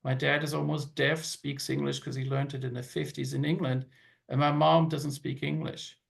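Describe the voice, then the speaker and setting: Gravelly-voiced man sharing family stories. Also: on the high side, little pitch movement, moderate pace. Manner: hesitant, many fillers and restarts. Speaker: panel guest. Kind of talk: casual panel chat.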